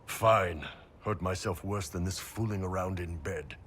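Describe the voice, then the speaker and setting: A gruff, low-voiced mercenary warrior. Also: male voice, unhurried, fairly bright tone, a little hiss or hum. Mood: wry. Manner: blunt, wry, swearing soldier. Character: big gruff horned mercenary warrior, wry and loyal. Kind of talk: video game cutscene dialogue.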